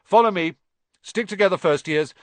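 A man's voice: high-pitched, moderate pace, no echo, pitched above the performer's own voice, natural voice. A man voicing a pompous, bossy teenage boy prefect who barks orders at younger pupils. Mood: officious. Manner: pompous, officious and loud.

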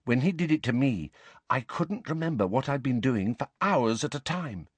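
Audiobook girl, acted by a man: young teen, blunt, unabashed, matter-of-fact, pitched well up, lively intonation. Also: moderate pace, husky, dry recording. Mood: cool, simple.

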